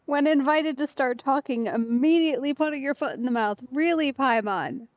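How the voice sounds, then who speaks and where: Slightly high-voiced woman streamer, dull audio. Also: normal speed, no room sound. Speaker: streamer playing a story game. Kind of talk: casual let's play commentary.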